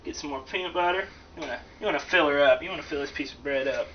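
A man with a slightly high voice rambles through a casual, jokey kitchen demonstration. His delivery is folksy and slangy. The sound is dull and lo-fi, picked up from a little distance with plenty of noise around him. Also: fast. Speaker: host of amateur comedic cooking show. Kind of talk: casual joking how-to demonstration. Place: home kitchen, indoors.

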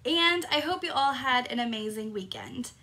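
Upbeat woman host, slightly hoarse. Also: sits high, lively intonation, moderate pace, sharp tone. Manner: upbeat, greets viewers by name. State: slightly hoarse, recovering from lost voice. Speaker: crafting demonstrator and host. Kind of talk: live-stream craft tutorial. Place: home craft room, indoors.

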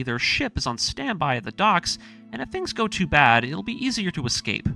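A man's voice: on the high side, bright, forceful tone. A man narrating a scripted story recap, speaking to his audience as a storyteller and series narrator.

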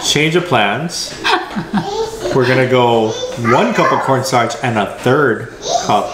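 A man's voice: medium pitch, lively intonation, slow pace, echoey room, heavy background noise. A man in his thirties showing how he cooks a recipe in a home kitchen, explaining the steps plainly and easily. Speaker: host's husband, guest cook. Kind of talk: casual cooking demonstration.

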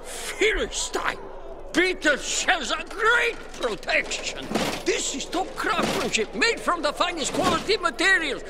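Shrill, indignant male craftsman. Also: big pitch swings, unhurried, heavy background noise, heavily acted. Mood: outraged. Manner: outraged, pompous. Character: indignant, proud old craftsman father, easily riled. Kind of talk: video game drama acting.

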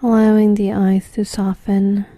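A woman leads a guided relaxation meditation in a very mellow, soft voice. Her delivery is slow and gentle, in short phrases that trail into breathiness. The recording is dry and close, with no room around her words. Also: mid-range voice, little pitch movement, dry recording. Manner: gentle, unhurried instruction with short phrases and long pauses. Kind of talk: guided body scan meditation.

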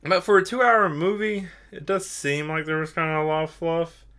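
A man's voice: fairly high pitch, fairly even intonation, normal speed, fairly bright tone. A young man hosting a podcast, chatting casually in a rambling, self-deprecating way while a little tipsy. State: tipsy from drinking. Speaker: podcast host.

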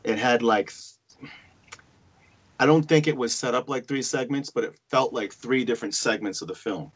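Man giving halting feedback on a call. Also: medium pitch, breathy edge. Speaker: table-read participant giving feedback. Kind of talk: casual spoken feedback on a video call. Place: remote video call. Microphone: video-call microphone.

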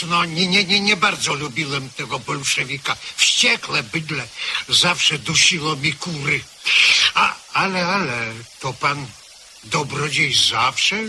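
An actor playing a shrewd, folksy village parish priest in a radio drama. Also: male voice, on the high side, pushed and bright, exaggerated voice. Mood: amused. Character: shrewd rustic village parish priest, middle-aged man. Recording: old radio play recording with light hiss.

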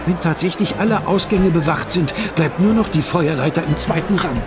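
A man's voice: a little high, normal speed, over music, close to an everyday voice. A man playing a distressed, tender-hearted man in a radio drama, speaking with emotion. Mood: urgent.

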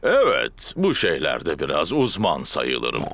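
Bumbling cartoon dad pig: very deep, gravelly, swooping wildly, hesitant hums. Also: male voice, wildly swooping pitch, takes it slowly, very noisy, full character voice. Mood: proud. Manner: hesitant, humming and hawing. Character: bumbling good-natured father pig. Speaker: Daddy Pig voice in a cartoon dub. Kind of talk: cartoon dubbing.

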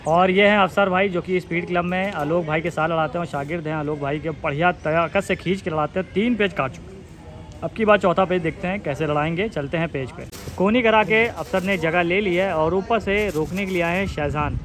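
A man giving rapid, excited live play-by-play of rooftop kite fights and talking to viewers. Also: sits high. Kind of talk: live sports commentary. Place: rooftop outdoors.

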